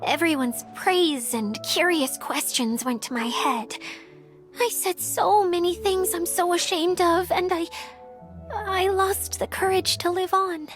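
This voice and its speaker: A woman voicing a gentle, modest young female guide who speaks earnestly and hesitantly in a video game cutscene. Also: extremely high pitch, a little slow. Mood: ashamed, bashful. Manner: soft-hearted, earnest, hesitant. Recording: background music under the voice.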